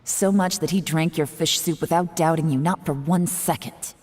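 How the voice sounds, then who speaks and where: Game character: actress as cool, taunting female operative, low register, controlled delivery, heavy reverb. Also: a little mellow, slight background noise, lightly acted. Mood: stern. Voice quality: low-pitched. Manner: measured, coolly taunting, controlled. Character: cool, calculating female intelligence agent, mocking and self-assured. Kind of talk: scripted game voice acting. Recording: long reverb, faint music behind.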